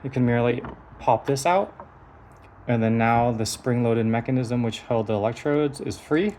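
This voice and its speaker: A man's voice: mid-range voice, normal speed, a little mellow, slight background noise. A man giving a hands-on technical demonstration of a device in a methodical, explanatory manner. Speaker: engineer presenting a prototype device.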